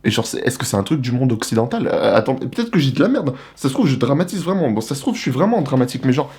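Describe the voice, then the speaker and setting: A young man from the Republic of the Congo giving a casual, animated, slangy monologue to the camera as a vlogger. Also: medium pitch, fast talker. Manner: animated, slangy, rhetorical questions.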